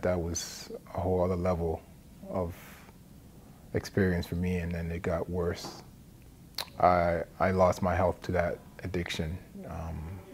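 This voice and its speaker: A man from Liberia speaks. He shares a personal testimony as a recovery support worker, plainly and with halting phrasing. Faint noise sits behind his words. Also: sits low, a little mellow. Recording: noticeable background noise.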